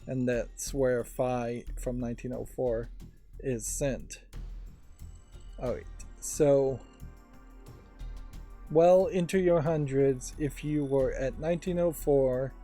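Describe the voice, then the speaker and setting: A man doing casual gameplay commentary and reading aloud, halting as he thinks out loud. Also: medium pitch, fairly even intonation. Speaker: let's play host. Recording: quiet game music behind. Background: game music.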